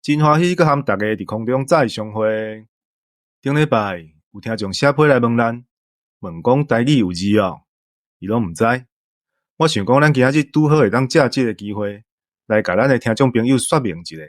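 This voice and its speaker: Male podcast host, Taiwanese accent, mid-range pitch, explanatory talk, dry studio-like sound. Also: animated, normal speed, dry recording.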